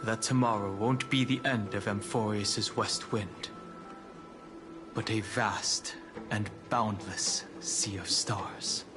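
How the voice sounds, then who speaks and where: An immortal male dragon scion for a fantasy video game. He is fairly low and slow, with stately, measured phrasing and steady resolve. Music plays clearly behind him. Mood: earnest resolve. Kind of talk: scripted video game voice acting.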